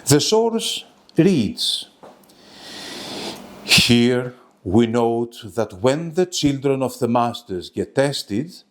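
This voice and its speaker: Male academic presenter, slow delivery, mid-range pitch, halting and formal. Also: big pitch swings, a little hiss or hum. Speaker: lecturer presenting a web seminar. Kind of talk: academic lecture.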